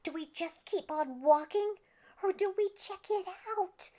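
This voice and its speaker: A woman's voice: very high voice, a little slow, a little mellow, voice pushed up. A woman voicing a watchful, helpful young pirate girl in a storybook, urgent and dramatic. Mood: cautious.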